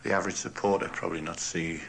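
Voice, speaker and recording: Deep, flat-toned man with a bright edge. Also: quick pace, vocal fry, slight background noise. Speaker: radio interviewee. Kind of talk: spontaneous interview answer.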